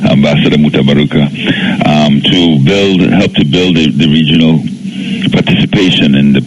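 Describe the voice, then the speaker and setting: A man's voice: a bass voice, normal speed, slightly rough. A man from Trinidad with a Trinidadian accent, speaking courteously as a guest in a conversational interview. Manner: polite, courteous. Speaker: interview guest, communications executive. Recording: dull lo-fi radio link, faint hiss.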